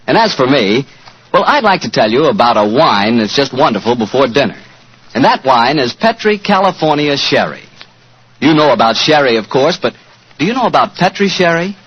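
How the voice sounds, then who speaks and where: A man working as a radio announcer and sponsor's pitchman, speaking in an enthusiastic, emphatic, conversational 1940s advertising style. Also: big pitch swings, normal speed, a bit bright, husky. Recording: dull old 1940s radio recording.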